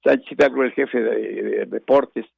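An older man with a Mexican Spanish accent hosts a radio show, his voice heavily gravelly and fried. He wanders from point to point, stalling on fillers and restarting his sentences. The sound is narrow and tinny like a phone line, and bone-dry. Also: medium pitch, measured pace, no room sound. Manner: digressive, many fillers and restarts. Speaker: radio host. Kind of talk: rambling radio chat and reminiscence.

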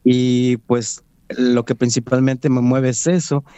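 A man's voice: medium pitch, flat delivery, warm tone, smooth, no room sound, lo-fi sound. Earnest man. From Mexico. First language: Nahuatl. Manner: earnest, measured, with many fillers and restarts. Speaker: guest, Nahuatl language coordinator. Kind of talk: interview conversation.

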